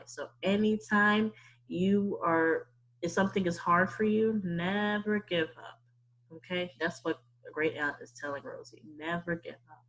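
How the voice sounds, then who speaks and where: A woman's voice: fairly low pitch, a bit bright, rough recording. A woman hosting storytime, chatting casually and encouragingly to children and repeating words for emphasis. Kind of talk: casual explanatory talk to children.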